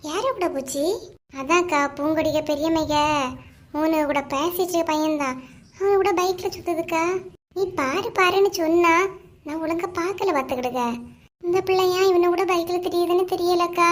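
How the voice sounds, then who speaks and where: Very high-pitched, bickering cartoon village woman acted by a woman, Kanyakumari Tamil accent, touchy, scolding. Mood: gossipy. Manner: scolding, grumbling. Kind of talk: comedy cartoon dialogue in local slang.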